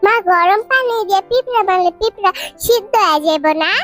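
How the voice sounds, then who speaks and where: Very squeaky, chatty little girl. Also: animated, fairly quick, a bit bright, faint music behind. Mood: curious, earnest. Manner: earnest, know-it-all. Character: chatty, naive little daughter. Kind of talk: cartoon voice acting.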